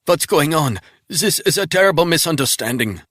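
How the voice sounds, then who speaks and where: A man's voice: mid-range voice, animated, normal speed, a bit bright, no echo, voice pushed up. A man voicing a panicked middle-aged male spy who pleads and then sneers at his captor, in a dry, close audiobook reading. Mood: pleading.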